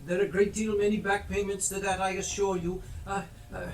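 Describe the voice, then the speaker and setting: A man's voice: sits high, flat delivery, a little fast. A man acting an old reformed miser on an amateur stage, eager and apologetic, stammering effusively as he goes. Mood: eager, humble. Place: theatre stage, live performance. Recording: distant echoey live stage recording, faint noise.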